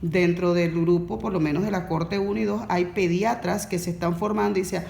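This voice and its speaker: A woman, a course facilitator explaining on a podcast in a measured way that restates her points. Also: on the low side, fairly even intonation, vocal fry, darkish tone, echoey room, slight background noise.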